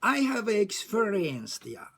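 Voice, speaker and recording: A woman with a Japanese accent speaking non-native English in a halting, emphatic way, repeating phrases as in an informal personal talk. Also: medium pitch, big pitch swings, creaky, a little hiss or hum. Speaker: discussion group chair and facilitator. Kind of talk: informal monologue about personal experience.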